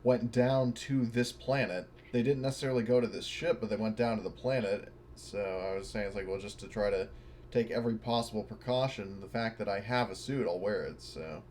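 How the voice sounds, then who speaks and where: Male tabletop player, lowish pitch, casual conversational delivery, slight background noise. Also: fairly quick, a little mellow. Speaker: player in a tabletop roleplaying game. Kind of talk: casual tabletop roleplay chat.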